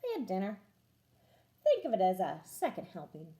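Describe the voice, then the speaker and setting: A woman's voice: medium pitch, wildly swooping pitch, normal speed, warm tone, voice pushed down. A woman voicing a chatty, mock-innocent male wolf telling his side of a children's storybook tale, exaggerated and indignant. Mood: sly, excusing. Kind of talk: animated children's storybook read-aloud. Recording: echoey room.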